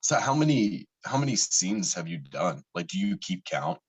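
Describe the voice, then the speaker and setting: A man with a very low, husky voice hosting a casual interview. He circles around his questions and pads them with "like" and "you know" as he goes. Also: big pitch swings, moderate pace, low-quality recording.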